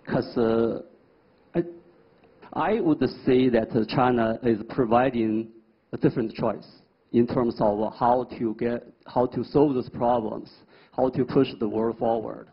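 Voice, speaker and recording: A man's voice: medium pitch, steady tone, measured pace, slightly rough, muffled recording. A male panel guest answering hesitantly, searching for his words. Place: TV studio.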